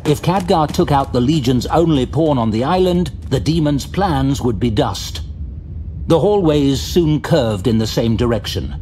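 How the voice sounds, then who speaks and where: A man giving dramatic, measured scripted narration for an audio drama, with faint music behind. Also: mid-range voice, lively intonation, dark tone, a little hiss or hum.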